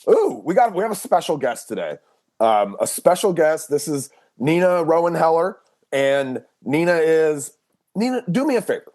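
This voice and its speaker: A man's voice: on the high side, big pitch swings, normal speed, darkish tone. A man hosting a podcast, talking loosely and casually with many restarts. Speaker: podcast host.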